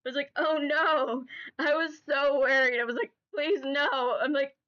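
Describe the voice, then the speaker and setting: A woman's voice: sits high, a little slow, fairly bright tone, muffled recording. Stuffy-nosed chatty young streamer woman. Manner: rambling, chatty, repeats herself. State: stuffy nose from allergies. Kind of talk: casual chat with stream chat.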